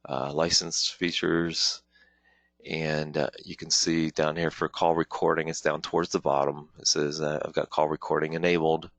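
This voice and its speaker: Male webinar trainer, very deep voice, dry sound, informal and halting step-by-step tutorial delivery. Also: level tone, dry recording. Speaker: webinar presenter, product trainer. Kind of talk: informal software tutorial, live demonstration walkthrough.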